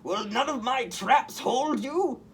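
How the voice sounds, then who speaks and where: A man's voice: extremely high pitch, lively intonation, slow, fairly bright tone, recorded from a distance, slight background noise, voice pushed up, full character voice. A man voicing a snarling, vengeful storybook supervillain who makes theatrical threats. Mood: furious.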